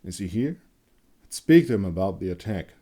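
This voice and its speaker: A man voicing a determined, persuasive monster hunter with a commanding manner in a dark fantasy story. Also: sits low, animated, moderate pace. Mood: urgent. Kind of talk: dramatic fantasy story reading.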